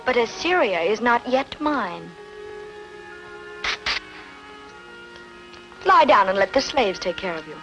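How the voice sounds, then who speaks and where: A woman's voice: a little high, big pitch swings, moderate pace, fairly bright tone, soft background music, rough recording. A woman dubbing a proud, calculating ancient queen in a formal, imperious manner for a film drama. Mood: coolly commanding.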